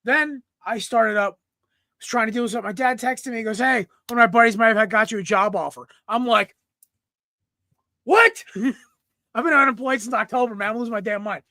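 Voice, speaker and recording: Young male podcast host, slightly raspy, rambling and casual, bright voice, throat clearing. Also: extremely high pitch, fairly quick, dry recording, clean studio sound. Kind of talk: casual sports podcast chat. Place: room at home. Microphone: computer mic, no headset.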